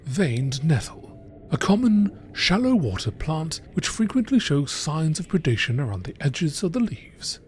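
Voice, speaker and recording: A narrator reads a script in nature documentary style. The pitch moves in a lively way across phrases, with faint noise in the background. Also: unhurried. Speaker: narrator reading game lore texts.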